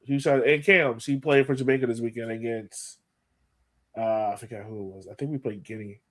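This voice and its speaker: A man hosting a sports podcast in casual chat, animated and often repeating phrases for emphasis. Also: medium pitch, brisk. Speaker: podcast host.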